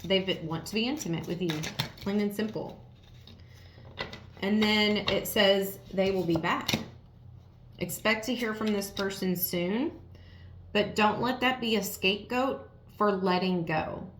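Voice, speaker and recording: A woman with a raspy, worn voice giving a casual tarot reading, talking frankly and straight to the viewer. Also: medium pitch, measured pace, noisy background. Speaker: tarot reader. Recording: roomy echo.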